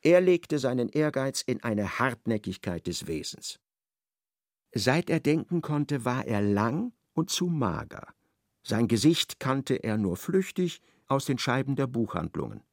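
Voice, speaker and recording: A man narrating a radio play in a measured, ironic storytelling manner. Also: medium pitch, sing-song, no echo, clean studio sound.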